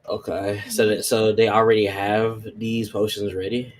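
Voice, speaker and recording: A man's voice: lowish voice, little pitch movement, moderate pace. A man playing a tabletop roleplaying game, chatting casually and hesitantly as he thinks aloud. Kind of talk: casual chat during a game.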